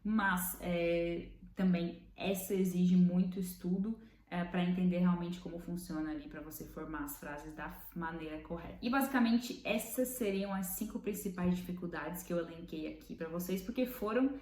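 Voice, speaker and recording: Low-voiced Brazilian woman explaining patiently. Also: a little mellow. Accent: Brazilian Portuguese. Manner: patient, thinks aloud with fillers, slows to spell out Italian examples. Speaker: language learning vlogger. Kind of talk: casual explanatory teaching. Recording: roomy room with faint background noise.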